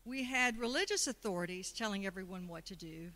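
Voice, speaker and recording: A woman's voice: medium pitch, animated, a little fast, sharp tone. A woman giving a conversational Sunday sermon, often putting questions to the congregation. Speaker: speaker at a Sunday service. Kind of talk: sermon talk.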